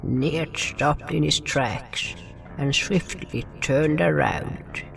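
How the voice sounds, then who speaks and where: Very slow, gravelly male storyteller. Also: a little high, a bit bright. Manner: solemn storytelling. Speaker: narrator and writer. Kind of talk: scripted first-person fantasy narration. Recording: distant voice, music underneath.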